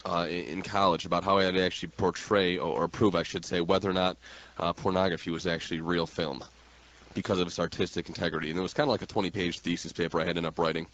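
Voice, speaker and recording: A man co-hosting a radio show in casual interview chat, with a halting, rambling delivery. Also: deep voice, little pitch movement, fairly bright tone, muffled recording.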